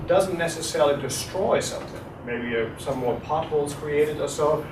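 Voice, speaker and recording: German guy lecturing a class, lots of uhs, roomy echo, far from the mic. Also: a little high. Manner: relaxed, hesitant with many fillers, quizzes audience. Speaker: guest lecturer. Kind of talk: university talk with audience questions. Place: lecture room. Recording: echoey room, distant, noisy.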